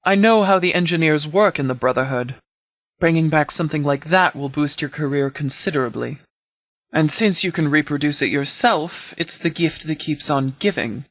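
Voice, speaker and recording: Man acting a stern, dry-sounding, higher-voiced sheriff. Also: a bit bright, no echo. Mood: measured, businesslike. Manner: measured, commanding, formal. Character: stern, authoritative sheriff, shrewd negotiator. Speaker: video game voice actor. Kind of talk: scripted game dialogue.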